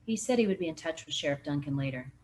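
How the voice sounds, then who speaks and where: A woman's voice: sits low, lively intonation, quick pace, a little mellow, a little hiss or hum. A woman reading aloud, voicing a weary, guarded male lawman who speaks matter-of-factly.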